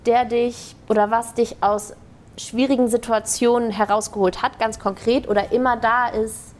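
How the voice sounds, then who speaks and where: A woman from Hamburg, running a casual quick-fire interview and affirming answers often. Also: a little high, little pitch movement. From Hamburg, Germany. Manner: upbeat, affirming with frequent "mhm" and "sehr gut".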